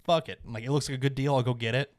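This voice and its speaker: A man's voice: medium pitch, fairly even intonation, racing, no room sound. Man chatting casually in a dry, close sound. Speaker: podcast co-host. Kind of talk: casual chat.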